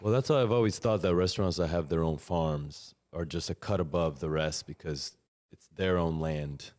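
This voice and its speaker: A man with a very deep voice hosts a relaxed interview. He keeps it casual, agreeing and laughing along often. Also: moderate pace. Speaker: podcast host.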